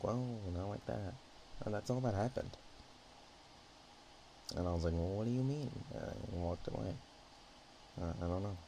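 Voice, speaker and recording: Roleplay ASMR dragon, played by a man: naive, affectionate, nervous rambler; low-pitched, relaxed mellow delivery with halting phrasing. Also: a little hiss or hum, poor audio. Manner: hesitant, halting, softly rambling.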